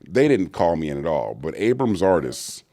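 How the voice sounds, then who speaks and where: A middle-aged man, an actor and voice actor, telling stories in an interview with a deep, resonant voice and acted-out quotes. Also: sing-song, normal speed, warm tone, dry recording, clean studio sound. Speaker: actor and voice actor guest. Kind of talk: storytelling interview answers.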